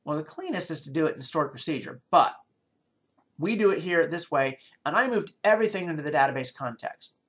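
A man's voice: a little high, animated, brisk, a bit bright. A middle-aged man in a small office, recorded on a desk webcam, walking through code in a screencast programming tutorial and thinking aloud with fillers and restarts. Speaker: programming lecturer.

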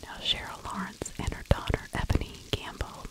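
A middle-aged woman whispering true crime ASMR close to the microphone, breathy, with opinionated conversational asides. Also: moderate pace, rough recording. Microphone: close microphone with furry windscreen.

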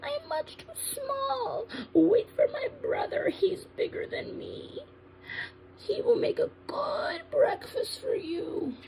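Little billy goat voiced by a woman: tiny squeaky-high storybook kid, whimpering, meek, faint noise behind. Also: sing-song, slow, creaky, darkish tone, full character voice, low-quality recording. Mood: frightened, pleading. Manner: pleading, childlike. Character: small, timid young male billy goat.

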